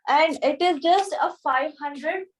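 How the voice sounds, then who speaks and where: A woman with a fairly high voice and an Indian accent teaches an online class from a small room. She speaks slowly and haltingly, informally repeating phrases as she explains. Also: poor audio. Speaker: anatomy teacher at a yoga school. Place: small room with a whiteboard, indoors. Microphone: device camera a short distance away, video call.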